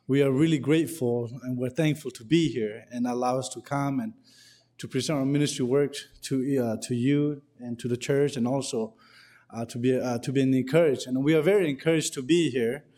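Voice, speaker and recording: A man from Burma, a guest preacher opening a sermon, earnest and grateful, speaking haltingly with restarts. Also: medium pitch, fairly even intonation, mellow, in a large hall.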